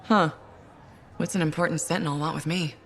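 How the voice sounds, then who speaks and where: A woman's voice: deep voice, steady tone, fairly quick, a bit bright, a little hiss or hum. An actress playing a capable female mercenary pilot in a video game, wry and dryly skeptical. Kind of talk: video game cutscene acting. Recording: faint background music.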